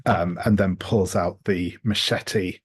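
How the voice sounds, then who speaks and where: A man's voice: low voice, steady tone, takes it slowly, slightly rough, no echo. A man playing a tabletop roleplaying game, describing the action hesitantly as he searches for words.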